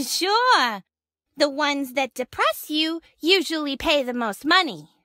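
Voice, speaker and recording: High, bright, gushing radio secretary played by an actress. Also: female voice, expressive, takes it slowly, no echo, studio quality, exaggerated voice. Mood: chirpy, wry. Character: perky, lovestruck, wisecracking female detective agency secretary. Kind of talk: old-time radio detective drama acting.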